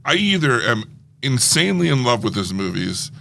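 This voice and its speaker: A playful man co-hosts a casual movie podcast and laughs often. His voice has a gravelly edge and a bright, sharp tone. His pitch swings widely as he talks. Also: very animated, normal speed. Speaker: podcast co-host. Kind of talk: casual chat about movies.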